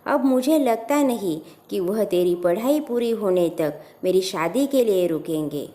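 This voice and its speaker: Woman as young woman in love, mellow tone, informal, practical, protesting, audio story dialogue. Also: medium pitch, lively intonation, measured pace, close to an everyday voice. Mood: worried, frank.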